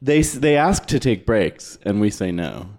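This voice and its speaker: A man from Northern California co-hosting a podcast, joking in a dry, deadpan way. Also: on the low side, moderate pace. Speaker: podcast co-host. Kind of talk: casual podcast chat.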